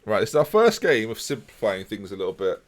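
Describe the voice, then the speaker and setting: A man's voice: on the low side, a little fast. A man casually chatting with his live-stream viewers while playing a football management game. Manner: relaxed, chatty, talks to chat while playing.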